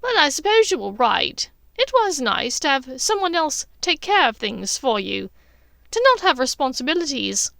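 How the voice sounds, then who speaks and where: A woman voicing a middle-aged dark witch, sneering, taunting and sharp, in a storybook reading. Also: high-pitched, big pitch swings, moderate pace, vocal fry, dry recording, clean studio sound, voice pushed up, full character voice. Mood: wistful.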